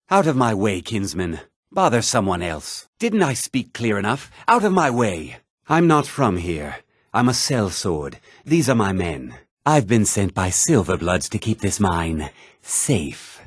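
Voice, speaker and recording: Casting: condescending fantasy RPG townsman, short-tempered, lively swooping intonation, close dry sound. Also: lowish voice, unhurried, breathy edge, no room sound, exaggerated voice. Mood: haughty. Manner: condescending, curt, sneering. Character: haughty, irritable townsman of a fantasy realm. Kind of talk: fantasy video game character lines.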